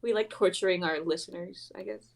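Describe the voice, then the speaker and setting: A woman's voice: on the low side, very animated, fairly quick, a crowd in the background. A woman in her twenties chatting casually with fellow performers on a group stream. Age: 20s. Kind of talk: casual chat.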